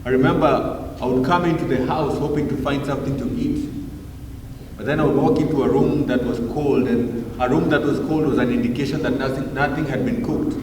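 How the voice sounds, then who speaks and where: A man's voice: medium pitch, steady tone, fairly quick, darkish tone, a little hiss or hum. Kenyan male speaker, casual storyteller who talks to the crowd, roomy distant sound. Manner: casual, engaging the crowd with questions. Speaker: guest speaker. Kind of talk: storytelling talk to a live audience.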